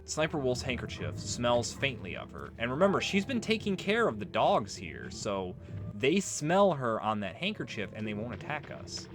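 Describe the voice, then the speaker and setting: A man's voice: mid-range voice, wildly swooping pitch, normal speed. A man giving casual, rambling let's play commentary, explaining things and drifting off on tangents. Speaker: let's player, video game commentator. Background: video game music.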